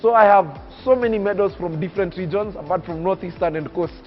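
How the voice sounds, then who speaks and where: Casting: 19-year-old man from Kenya, high voice, preacher-like cadence, dull TV sound with faint music. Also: a little fast. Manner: oratorical, emphatic, preacher-like cadence. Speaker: young public speaker interviewed on TV. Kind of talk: earnest personal storytelling.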